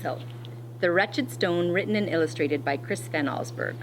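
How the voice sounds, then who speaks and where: A woman teacher reading a picture book aloud to children in an expressive, deliberate way, pausing to explain as she goes. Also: fairly low pitch, background noise.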